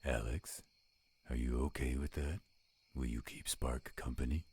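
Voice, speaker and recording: A man voicing a gruff, protective werewolf with a husky voice in an audio roleplay. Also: very deep voice, steady tone, a little slow, darkish tone, no room sound, exaggerated voice. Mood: gruff, restless. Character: gruff, edgy male werewolf, protective of companions.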